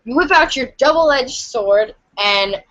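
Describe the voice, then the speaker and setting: A woman's voice: high voice, animated, takes it slowly, a bit bright, muffled recording. A woman reading narration aloud in a theatrical style for a podcast. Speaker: podcast cast reader.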